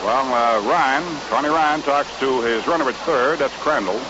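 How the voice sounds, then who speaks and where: A man's voice: medium pitch, normal speed, background noise. An American man calling baseball play-by-play in a folksy 1950s radio commentary style, on a muffled old broadcast recording. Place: ballpark broadcast booth.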